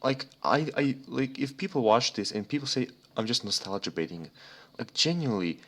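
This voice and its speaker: A man's voice: medium pitch, lively intonation, moderate pace, fairly bright tone. A young man from Serbia chatting casually as a podcast co-host, rambling and swearing freely. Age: young adult. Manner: rambling, profane. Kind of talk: casual motorsport podcast chat. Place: remote voice chat.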